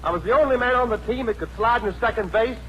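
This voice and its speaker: An actor playing a breezy, boastful skinny joker in a 1940s radio comedy. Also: male voice, very high-pitched, fast talker, sharp tone. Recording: noisy old radio recording. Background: rain sounds.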